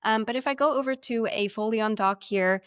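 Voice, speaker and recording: A woman's voice: on the high side, fairly quick, a bit bright, clear, resonant tone, dry recording, studio quality. A woman co-presenting a webinar, talking through a shared screen in a conversational, explanatory way.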